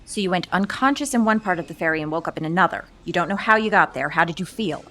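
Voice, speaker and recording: A woman's voice: on the low side, lively intonation, quick pace, fairly bright tone, slight background noise. A woman playing a matter-of-fact police detective in a naturalistic audio drama, asking direct, clipped, probing questions. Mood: focused, pressing.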